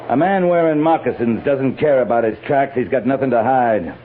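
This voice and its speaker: A man's voice: medium pitch, normal speed, close to an everyday voice. Stern cavalry captain on scratchy old radio male actor. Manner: clipped, authoritative, gruff. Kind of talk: 1950s radio western acting. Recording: scratchy, narrow-band old radio recording.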